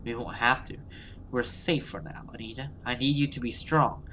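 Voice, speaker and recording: Man reading brave protective heroine, horror visual novel, earnest, reassuring, bright voice, slight noise. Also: medium pitch, fairly even intonation, moderate pace. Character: brave protective young woman, steadfast friend.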